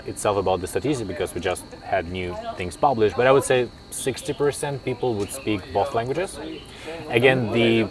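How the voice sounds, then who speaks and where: A young man from Kazakhstan whose first language is Russian, answering interview questions thoughtfully with many fillers and restarts. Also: lowish voice. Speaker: interview guest, linguist.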